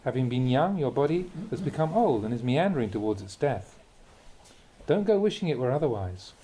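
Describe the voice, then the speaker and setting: A man reading a Buddhist teaching aloud as a Dhamma talk, in measured, deliberate phrases with emphatic contrasts. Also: mid-range voice, sing-song, mellow, poor audio.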